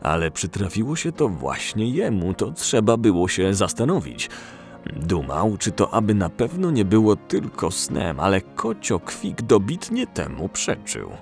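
A man's voice: low-pitched, sing-song, unhurried, creaky, darkish tone. A man narrating a story in a scripted storytelling style for an audio drama. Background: music.

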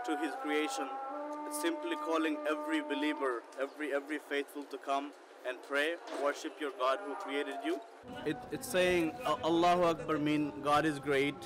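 A man's voice: a little high, heavy background noise. A man speaking for a mosque, explaining earnestly in a street interview outside its entrance.

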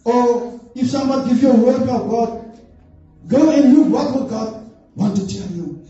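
Mellow man preaching in an echoing hall. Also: extremely high pitch, normal speed. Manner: earnest exhortation with frequent "amen" interjections. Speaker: church elder preaching. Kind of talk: sermon. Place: stage in a church hall, indoors. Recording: muffled, echoey hall, distant from camera.

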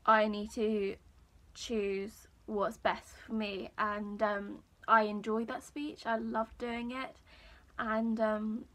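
A young woman vlogger with a high register and a croaky voice, chatting casually to camera in a small room, with lots of ums. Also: slow pace. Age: young adult. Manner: chatty, many ums, relaxed storytelling.

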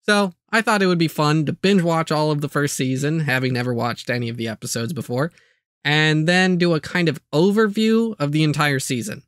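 A man's voice: on the high side, brisk, fairly bright tone, clear, resonant tone, no room sound, studio quality. A man hosting a video channel, narrating a scripted overview with light, self-aware asides.

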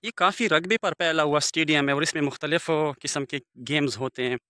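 A man's voice: a little high, a little fast, sharp tone, no echo, studio quality. A man in his forties walking outdoors and narrating a village vlog into his phone, speaking formally and devoutly with Arabic blessings. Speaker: village vlogger. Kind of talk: walking tour commentary. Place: outdoors in open riverbed countryside. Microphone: phone camera held by the speaker.

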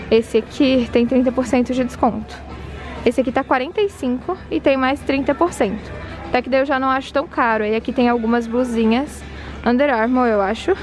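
A woman's voice: on the high side, darkish tone, poor audio. A woman casually vlogging her walk through a large department store, reading out prices and talking straight to her viewers. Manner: chatty, reads out prices, addresses viewers. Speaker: shopping vlogger.

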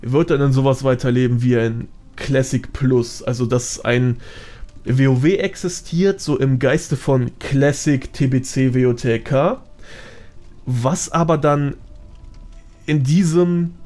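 A man's voice: mid-range voice, slow pace, warm tone. A man giving his opinions as a guest in a casual podcast chat. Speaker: podcast guest, gaming content creator.

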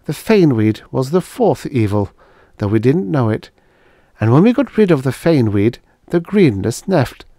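A man voicing an anxious, quick-minded small-town woman. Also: mid-range voice, sing-song, warm tone, no echo, clean studio sound, voice pushed up. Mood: relieved. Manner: urgent, raised voice.

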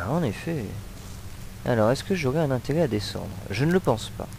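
A man's voice: medium pitch, animated, normal speed, creaky, darkish tone, slight background noise. A man with a cold giving rambling, hesitant commentary while playing a video game. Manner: rambling, hesitant, many fillers. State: has a cold. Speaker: let's play commentator. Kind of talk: casual gameplay commentary.

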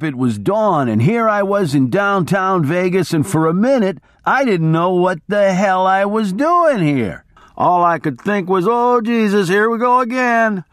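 A man voicing a rowdy, battered but elated biker, telling his tale loudly and profanely in a rambling way. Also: sits high, dry recording, studio quality, pitched above the performer's own voice. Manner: loud, rambling, profane storytelling.

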